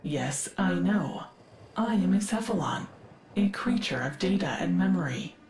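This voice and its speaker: A woman's voice: on the low side, animated, a little mellow, slight background noise. Echoing, formal female AI archivist. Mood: aloof. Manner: measured, precise, formal. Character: female artificial intelligence archivist, wistful, learned and formal. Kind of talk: scripted video game character dialogue.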